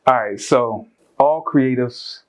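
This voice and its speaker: A man hosting an interview show, chatting casually and hyping up his guest, with quick bursts and slow stressed phrases. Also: mid-range voice.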